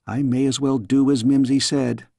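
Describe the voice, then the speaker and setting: A man's voice: medium pitch, flat delivery, normal speed, creaky, dark tone, no echo, studio quality. A man voicing a headstrong, inquisitive young princess in a storybook, pert and eager. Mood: defiant. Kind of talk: storybook character voice.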